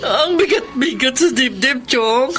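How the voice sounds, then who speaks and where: A woman's voice: sits high, measured pace, music in the background. An actress playing a hardworking, hurt single mother, speaking expressively in a Filipino accent in an emotional drama. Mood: tearful, hurt. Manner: emotional, expressive, plain-spoken. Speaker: actor in a drama. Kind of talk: emotional Filipino radio-style drama acting.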